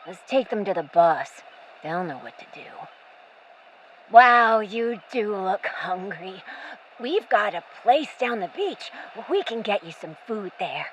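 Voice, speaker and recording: A woman's voice: mid-range voice, expressive, slow, a little hiss or hum, low-quality recording. A woman as a sly, casual pirate lookout. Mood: coaxing.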